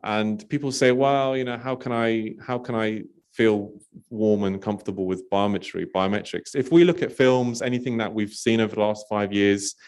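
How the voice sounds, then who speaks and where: A man from Poland, a company representative, answering panel questions in a relaxed, talkative way with many fillers. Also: on the low side, normal speed, clear, resonant tone. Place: conference stage.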